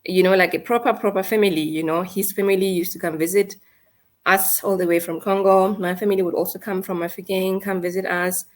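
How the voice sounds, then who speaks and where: A woman in her thirties with a South African accent, telling a painful personal story in a halting, hesitant way. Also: medium pitch, steady tone. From South Africa, North West. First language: Setswana. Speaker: guest. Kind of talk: emotional personal account.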